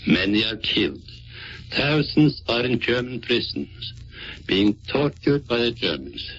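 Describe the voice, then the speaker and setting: A man's voice: medium pitch, big pitch swings, measured pace, a bit bright. A Norwegian man with a Norwegian accent, a serviceman delivering a scripted wartime radio address from the 1940s. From Norway. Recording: noisy muffled 1940s radio recording.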